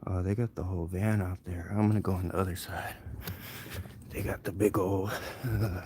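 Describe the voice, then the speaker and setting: A man with a fairly low voice comments casually for a live stream. His delivery is offhand and muttered, as if talking half to himself. The recording is noisy, made outdoors on a handheld camera. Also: steady tone, moderate pace, darkish tone. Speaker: citizen videographer live streaming police scene. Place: outdoors on a street and alley.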